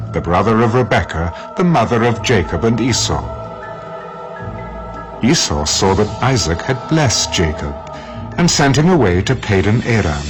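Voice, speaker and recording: A man narrating scripture from a script in a measured, dramatic storytelling manner. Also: lowish voice.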